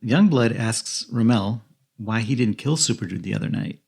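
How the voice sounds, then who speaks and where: A man's voice: medium pitch, moderate pace, gravelly, darkish tone, slightly rough. A man co-hosting a podcast, narrating a recap of a film's plot. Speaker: podcast co-host.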